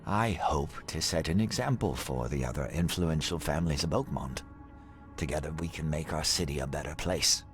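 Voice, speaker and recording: An actor playing a courteous, benevolent heir of an influential family in a video game, speaking formally and with measured politeness. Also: male voice, rumbling low, soft background music. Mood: composed, guarded. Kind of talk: video game cutscene dialogue.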